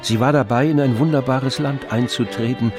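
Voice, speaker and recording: A man narrating a literary radio play in measured, scripted storytelling. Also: medium pitch, fairly even intonation, over music.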